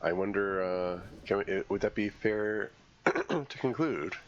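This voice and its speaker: A man streaming a game, congested from a cold, commenting live and thinking aloud in a halting way as he works through puzzles. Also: fairly low pitch, dull audio, slight background noise.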